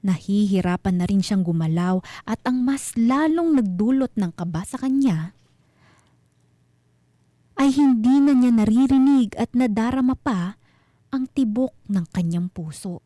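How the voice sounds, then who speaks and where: A woman narrating a scripted horror story for radio, with deliberate, suspenseful phrasing. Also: on the high side, expressive, mellow, dry recording.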